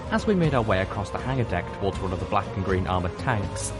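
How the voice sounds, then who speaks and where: A man reading first-person fiction aloud in a steady, measured way, over background music. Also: sits low. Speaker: audiobook reader. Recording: music playing underneath.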